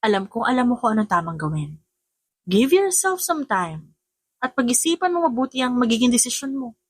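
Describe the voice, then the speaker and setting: A supportive young woman played by a female narrator with a high voice and an earnest, persuasive manner. She sounds like the sensible best friend in an audiobook drama, recorded very dry. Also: sing-song, a little fast, slightly rough, dry recording. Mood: earnest advising. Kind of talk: audiobook character acting.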